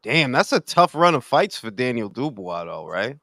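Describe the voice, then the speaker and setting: A man hosting a casual boxing talk show, animated and slangy, calling everyone bro. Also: mid-range voice, normal speed, gravelly, dry recording, clean studio sound. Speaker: podcast host.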